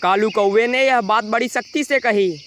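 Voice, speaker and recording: Very high-pitched male story narrator. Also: normal speed, fairly bright tone, slight background noise. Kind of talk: scripted cartoon story narration.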